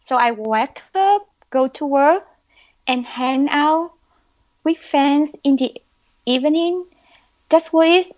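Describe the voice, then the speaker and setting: Young woman with a Vietnamese accent, high and slow, on dull lo-fi audio; podcast guest. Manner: slow, careful, earnest speech. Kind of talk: podcast interview answers.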